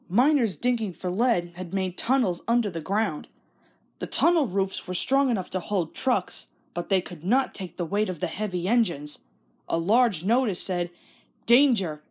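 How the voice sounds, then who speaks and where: Casting: female read-along narrator for children's tales, expressive swoops, medium register. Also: medium pitch, slightly rough. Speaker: storybook reader. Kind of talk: scripted children's story narration.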